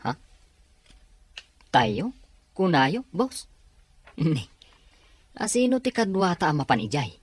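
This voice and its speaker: A woman's voice: low-pitched, big pitch swings, takes it slowly, pauses often, slightly rough, dry recording, heavily acted. A woman playing an eager, money-minded underling in an Ilocano audio drama, with a Filipino accent. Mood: eager, curious.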